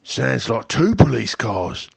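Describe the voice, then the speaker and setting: A man reading a storybook aloud and voicing a rough-spoken male burglar with a slow, drawling dialect. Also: medium pitch, very animated, no room sound, heavily acted. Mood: pleased.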